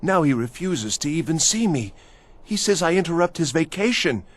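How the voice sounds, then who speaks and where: Casting: desperate, devoted servant to a mad master, game role; higher-set husky voice, theatrical, lurching from pleas to awe. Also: male voice, sing-song, moderate pace. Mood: pleading. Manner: theatrical, swinging from pleading to hushed awe. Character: frantic, pleading servant devoted to his vanished mad master. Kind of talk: video game character acting.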